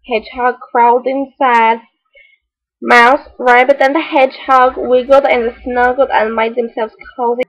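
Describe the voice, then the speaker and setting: A woman playing a quirky, earnest read-aloud hostess with a mock foreign accent, telling a children's story with exaggerated delivery and deadpan asides. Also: fairly high pitch, a little slow, muffled recording.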